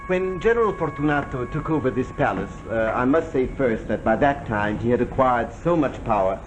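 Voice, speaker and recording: A man's voice: medium pitch, animated, moderate pace, close to an everyday voice, rough recording. Man playing a formal, melancholy old-world aristocrat over music. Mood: grave, wronged. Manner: formal, hesitant, condescendingly polite. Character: courtly, melancholy old-world aristocrat telling of past wrongs. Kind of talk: 1940s television drama acting. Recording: old 1949 broadcast with music behind.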